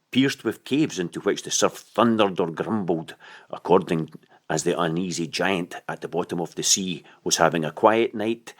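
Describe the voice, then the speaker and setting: A man reading a literary story aloud as an audiobook narrator, in an expressive storytelling style. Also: fairly low pitch.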